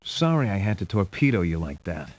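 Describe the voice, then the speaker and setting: An actor playing a wisecracking, streetwise private investigator in a video game, with wry, casual, slangy delivery. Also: male voice, sing-song, a little fast. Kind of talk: video game hero acting. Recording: dull, hissy low-fidelity game audio.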